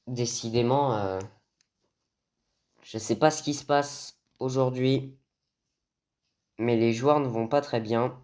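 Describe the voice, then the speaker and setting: Male gaming host, medium pitch, hesitant football game commentary with frequent mid-phrase pauses. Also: fairly even intonation, darkish tone.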